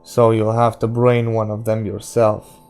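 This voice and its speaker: A man voicing a weary, sick gunslinger in an audiobook, terse, stoic and measured. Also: lowish voice, completely flat delivery, darkish tone, clear, resonant tone, faint music behind.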